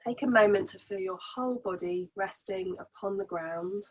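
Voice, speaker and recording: A woman leading a guided relaxation as a meditation teacher, soothing and gently instructing in an unhurried way. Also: mid-range voice, slightly rough, poor audio.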